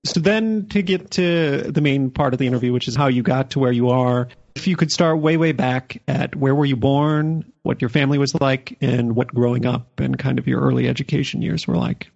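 A man's voice: mid-range voice, normal speed, gravelly, a little mellow, highs cut off. A man hosting an interview podcast, introducing episodes and prompting his guest with friendly questions. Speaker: podcast host. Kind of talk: interview host.

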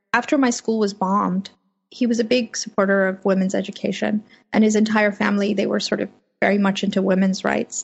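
A woman from Afghanistan, a native Persian speaker, speaking as a journalist guest in a measured, reflective way from her home office. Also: medium pitch, creaky. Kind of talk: interview over remote link. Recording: muffled lo-fi remote audio.

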